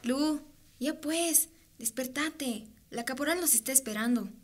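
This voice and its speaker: A loyal, insistent teenage girl played by a woman in a radio drama. She speaks firmly and encouragingly in a fairly high voice. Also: unhurried, very noisy. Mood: urging. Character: loyal, firm, urging teenage girl best friend.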